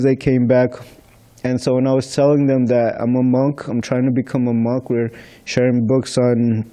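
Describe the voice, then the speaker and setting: A man's voice: medium pitch, mellow. A young man from the Philippines giving an informal scripture class in a temple hall, telling stories in a chatty way into a stand microphone. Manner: chatty, storytelling with light laughs. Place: large temple hall, indoors. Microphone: stand mic in front of seated speaker.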